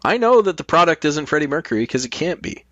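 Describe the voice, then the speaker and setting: A man's voice: on the high side, big pitch swings, fast, fairly bright tone, no room sound, highs cut off. A middle-aged man talking casually as a podcast guest, explaining his points with emphasis.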